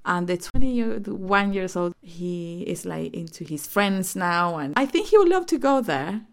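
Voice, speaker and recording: A woman in her forties from Chile, speaking English with a Chilean accent as a Spanish native speaker, recalling her life in a hesitant, halting way. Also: fairly low pitch, animated, vocal fry. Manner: hesitant, many fillers and restarts. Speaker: interviewee. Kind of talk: personal reminiscence.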